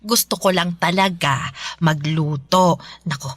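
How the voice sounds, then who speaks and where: A woman playing a warm, modest middle-aged mother from the provinces in an audio drama, with a husky voice. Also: on the low side, a little slow, dry recording. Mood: warm, welcoming. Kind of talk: Tagalog audio drama acting. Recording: music behind.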